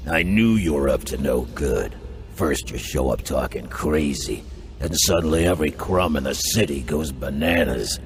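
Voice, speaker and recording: An actor playing a menacing, sadistic 1920s American mob boss in a cartoon gangster game, with a threatening drawl. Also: male voice, rumbling low, lively intonation, moderate pace. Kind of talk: cartoon video game voice acting. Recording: loud music behind.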